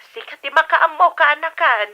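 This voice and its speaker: Through a dull telephone filter, a woman plays a middle-aged, reassuring aunt in an Ilocano-accented radio drama. Her voice is fairly high and kindly. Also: normal speed. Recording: dull band-limited phone effect.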